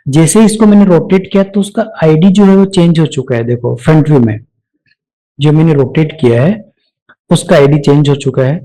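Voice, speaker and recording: A man with an Indian accent narrating a step-by-step software tutorial, explaining each action aloud as an instructor. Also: fairly high pitch, brisk, rough recording.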